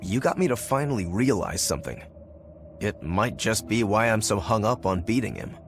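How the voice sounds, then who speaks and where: A man voicing a determined swordsman demon in a video game, explaining things in a measured way. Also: fairly low pitch, expressive. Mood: earnest, resolute. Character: determined swordsman demon, stoic younger brother to a master. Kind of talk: English video game voice acting. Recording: game music under the voice.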